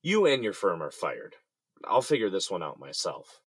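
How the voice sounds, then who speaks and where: Guy doing a betrayed exec stuck in jail, deep husky voice, desperate, dry audio drama sound. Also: big pitch swings, moderate pace, a bit bright, no room sound, exaggerated voice. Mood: angry, indignant. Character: indignant jailed architecture company boss, desperate and betrayed.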